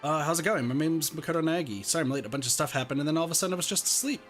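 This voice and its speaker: A man's voice: on the high side, racing, fairly bright tone, faint music behind, lightly acted. A man reading a visual novel as its bewildered, earnest teenage schoolboy hero. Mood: sheepish. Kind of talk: reading game dialogue in character.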